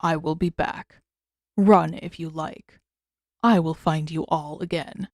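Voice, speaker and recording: A woman's voice: low-pitched, steady tone, a little mellow, dry recording, clean studio sound, pitched below the performer's own voice, heavily acted. Woman as a rich-voiced, gloating monster spirit. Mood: menacing. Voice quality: rich, unnervingly smooth tone. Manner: slow, savoring, ornate phrasing. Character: menacing, gloating centipede spirit with a human face.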